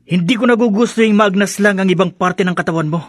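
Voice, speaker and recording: An actor playing a vengeful man who hunts demons, melodramatic, with a high, raspy voice in a horror radio drama. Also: fast, no echo. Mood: taunting, vengeful. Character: vengeful taunting human male, hunting a demon with cross.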